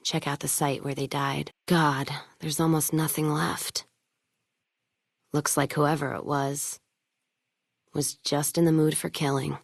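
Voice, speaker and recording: A woman's voice: low voice, flat delivery, measured pace, vocal fry, pauses often, no room sound, clean studio sound, full character voice. A woman voicing a hard-drinking, world-weary caravan trader in a video game, speaking bluntly with wry, folksy phrasing. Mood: somber, subdued. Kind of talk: video game voice acting, scripted dialogue.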